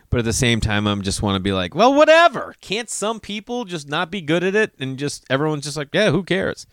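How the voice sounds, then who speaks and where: A man's voice: medium pitch, a bit bright, smooth, no room sound, studio quality. A man in his forties telling a rambling personal story, often losing his thread and correcting himself. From Massachusetts. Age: 40s. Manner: digressive, self-correcting, swears casually.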